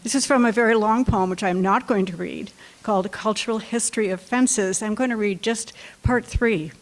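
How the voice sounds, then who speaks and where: A woman with a light voice reads her own poetry at a library evening. She speaks at a middle pitch and takes the lines slowly and deliberately. In between poems she talks to the room in a relaxed, chatty way. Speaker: poet reading her work. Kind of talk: poetry reading.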